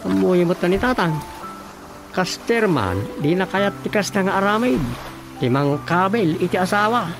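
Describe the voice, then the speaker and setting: A man's voice: high-pitched, lively intonation, moderate pace, gravelly, over music. A man acting a concerned relative in a radio drama, conversational and explanatory. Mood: concerned, confiding.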